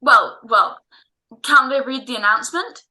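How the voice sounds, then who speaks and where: A man acts out a flustered, soft-hearted father of the bride for a classic radio play. The voice is high and very sharp, blustery, tripping over repeated words. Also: unhurried, exaggerated voice, low-quality recording. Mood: blustering, exasperated. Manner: blustering, hesitant with stammered repeats. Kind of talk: old-time American radio drama acting. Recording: online home recording.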